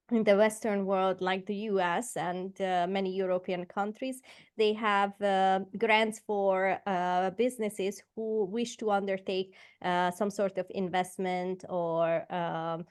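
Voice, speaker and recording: A Hungarian-accented woman in her forties talks through her career as a podcast guest. Her speech halts often on fillers and restarts. Her voice sits at a middle pitch for a woman. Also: steady tone, slow pace, a little mellow, clear, resonant tone. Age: 40s. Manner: hesitant, many fillers and restarts. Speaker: guest entrepreneur. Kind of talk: podcast interview.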